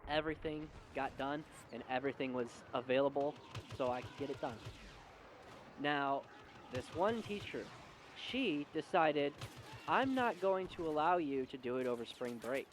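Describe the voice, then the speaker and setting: Higher-voiced young man over clear noise. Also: lively intonation, moderate pace. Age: young adult. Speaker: video storyteller. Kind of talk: casual personal storytelling rant.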